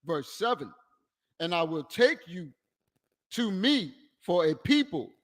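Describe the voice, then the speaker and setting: A man reading Bible verses aloud in a formal, measured and deliberate way, phrase by phrase, with long reverb. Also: high-pitched, very animated. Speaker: scripture reader assisting the preacher. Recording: long hall reverb, distant-sounding.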